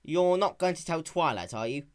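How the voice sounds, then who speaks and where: Storybook role: small, anxious boy dragon acted by a man, pitched up, hesitant and evasive, with animated swings. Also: normal speed, natural voice. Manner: hesitant, filler-laden.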